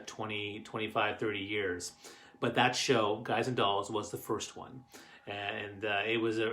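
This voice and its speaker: Middle-aged man, Canadian accent, bright forceful voice, casual storytelling, halting and rambling. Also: fairly low pitch. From Canadian Maritimes. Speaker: host of a family stories show. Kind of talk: casual personal storytelling to camera.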